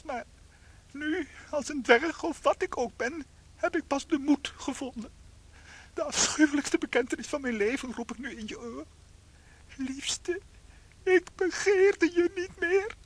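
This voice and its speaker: A woman playing a tiny shrunken unfaithful husband in a radio play, theatrical, anxious and guilt-ridden, with a high husky voice. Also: normal speed, natural voice. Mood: confessing.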